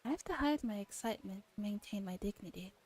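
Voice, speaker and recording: A woman streamer reading a visual novel aloud in a soft ASMR style, often dropping to a whisper. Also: mid-range voice, lively intonation, quick pace. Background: background music.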